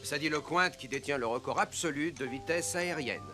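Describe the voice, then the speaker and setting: A man voicing a kindly, courteous, slightly flustered father in a French animated film. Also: a little high, moderate pace, lightly acted. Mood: gracious. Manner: genial, gallant, flustered. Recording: music behind the voice.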